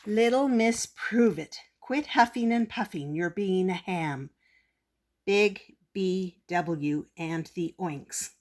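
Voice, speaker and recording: A woman's voice: on the low side, slow pace, a little mellow. A woman voicing a teasing, chiding fairy-tale character's letter from a storybook.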